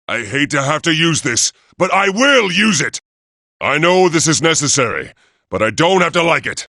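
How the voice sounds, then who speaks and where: A man's voice: sits high, sharp tone, dry recording, clean studio sound, exaggerated voice. A man voicing a proud, noble robot leader in a video game, with a formal, declamatory and grandiose delivery. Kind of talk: theatrical video game voice acting.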